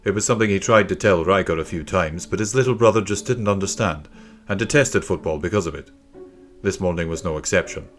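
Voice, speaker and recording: A man's voice: sits low, fairly quick, soft background music. A man reading a scripted children's story as an audiobook narrator.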